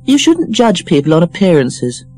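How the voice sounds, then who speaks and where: A man's voice: high-pitched, huge pitch swings, normal speed, a little mellow, soft background music, pitched above the performer's own voice, heavily acted. A man voicing a meek, fretful young woman with a plaintive manner, in an audiobook. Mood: mild protest. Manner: plaintive, fussy. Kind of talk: audiobook character voice.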